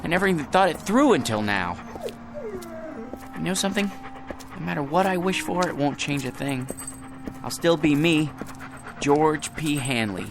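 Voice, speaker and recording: A man's voice: on the high side, lively intonation, moderate pace, vocal fry, pauses often, background noise. An actor in a radio drama playing a hapless everyman with grand pretensions, theatrical, moving between pompous and faltering. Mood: rueful. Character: hapless, grandiose everyman dreaming of being president, then genie. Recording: radio drama with music underneath.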